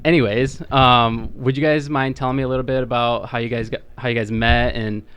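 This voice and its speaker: A man's voice: medium pitch, steady tone, fairly quick, fairly bright tone, a little hiss or hum. A man hosting a podcast, asking his guests simple questions in a friendly, slightly flustered way. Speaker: podcast host. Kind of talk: podcast interview.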